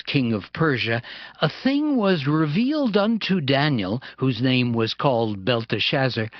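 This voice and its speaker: A man narrating scripture from a script in a formal, deliberate manner. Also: fairly high pitch, dry recording. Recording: slightly dull, dry sound.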